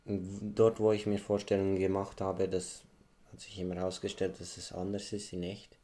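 A man's voice: low-pitched, completely flat delivery, normal speed, warm tone. A man in his thirties with a Swiss German accent, answering interview questions casually and thoughtfully, often searching for words. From Switzerland. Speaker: interview guest. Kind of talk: casual recollection.

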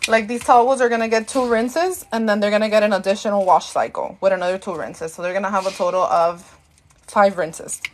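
A woman's voice: medium pitch, steady tone, a little fast, a little hiss or hum. A woman, chatting casually as a live-stream host, thanking viewers and slipping into Spanish, a bit tired and out of breath. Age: 30s.

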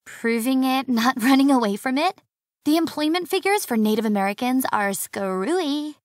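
A blunt, wry young woman, played by an actress in an audiobook. Her voice is high and very close and dry, with a deadpan delivery. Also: normal speed, dry recording. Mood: wry, unimpressed.

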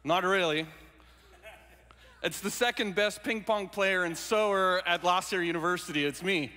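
A man's voice: sits high, normal speed. A man, preaching a sermon with jokes and stories. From South Africa. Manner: jokey, animated storytelling. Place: large church sanctuary. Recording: hall reverb in a sanctuary.

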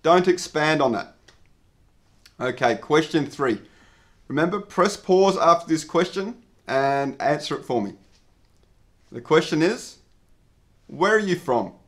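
A man, explaining an English exam lesson to camera at a clear, measured pace. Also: a little high, animated, a bit bright. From Australia. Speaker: English teacher. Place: indoor studio with dark backdrop.

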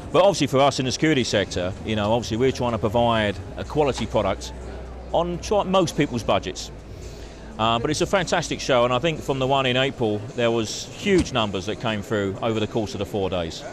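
A man interviewed at a busy indoor trade expo, chatty and upbeat, leaning on "you know" and "obviously" while he talks. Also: mid-range voice. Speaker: managing director of a security firm. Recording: crowd chatter behind.

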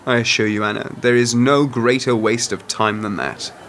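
A man playing a dry, measured, self-deprecating former intelligence worker turned author in a radio play. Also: medium pitch, fairly even intonation, fairly bright tone, a little hiss or hum, close to an everyday voice. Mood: cynical, measured. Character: wry, world-weary male author and former intelligence worker. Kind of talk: radio drama dialogue.

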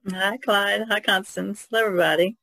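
A woman's voice: mid-range voice, fairly even intonation, a little fast, gravelly, pushed and bright, dry recording. An American woman co-hosting a podcast in casual discussion. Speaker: podcast co-host.

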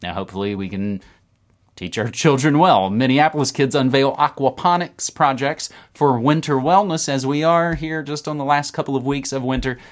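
A man in his thirties hosting a casual news roundup to camera from a room at home, animated and talking with his hands. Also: medium pitch, moderate pace. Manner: animated, talks with his hands, upbeat asides. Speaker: podcast host. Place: home room with record shelves.

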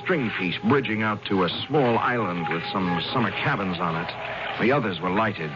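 A dull old radio drama recording with loud music. A man acts out a young ex-athlete with a low voice, bitter and lovesick. He talks in terse, brooding, intense bursts. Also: lively intonation, moderate pace, natural voice. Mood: grim, brooding. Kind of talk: 1970s radio drama acting.

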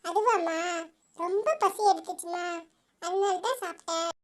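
Cartoon crow for a kids' tale female performer: tiny, very high voice, courteous and meek. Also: normal speed. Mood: friendly. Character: polite, humble, freeloading crow in a children's story. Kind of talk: children's animal story character voice.

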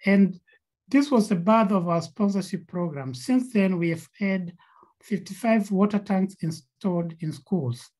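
A woman's voice: mid-range voice, unhurried, darkish tone, poor audio. A woman with a Kenyan accent, co-founder of a nonprofit, presenting slides on a video call with frequent fillers and repeated words.

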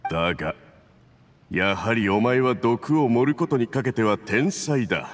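A man's voice: medium pitch, lively intonation, a little slow, in a large hall, slight background noise, close to an everyday voice. A man voicing a scheming, domineering male agent in an anime video game, smug, patronizing and commanding. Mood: patronizing praise. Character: scheming, domineering Fatui agent toasting his accomplice.

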